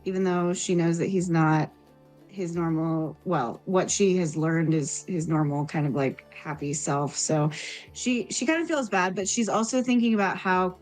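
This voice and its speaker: A woman's voice: lowish voice, moderate pace, darkish tone, faint music behind. A woman improvising narration for her character in a tabletop roleplaying game.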